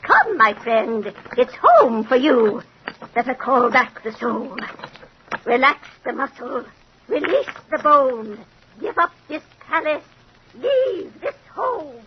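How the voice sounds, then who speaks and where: Casting: a gloating, witchy female fortune teller, high voice, chanting spells, on a muffled, hissy vintage radio horror recording. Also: very animated, slow, full character voice. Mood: sly, wary. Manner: theatrical, incantatory delivery. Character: sinister female fortune teller conjuring souls, sly and gloating. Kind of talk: old-time radio horror acting.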